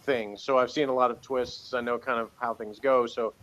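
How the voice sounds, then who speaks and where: A man co-hosting a casual review chat, thinking aloud haltingly with dry humor. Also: medium pitch, steady tone, a bit bright, muffled recording. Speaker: co-host giving review opinions.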